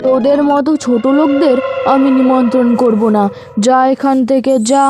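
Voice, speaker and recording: Woman voicing a haughty rich boy bird, highish pitch. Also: fairly even intonation, moderate pace, over music, close to an everyday voice. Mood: scornful. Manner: haughty, scornful, bossy. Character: snobbish rich young male schoolbird, a bully. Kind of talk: animated cartoon voice acting.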